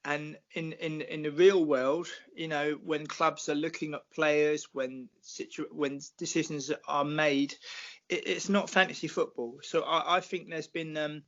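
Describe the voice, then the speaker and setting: A British man in his forties, a podcast guest talking football at length in a measured, explanatory way with many fillers. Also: a little high, fairly even intonation, a bit bright, muffled recording. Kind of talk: casual podcast chat.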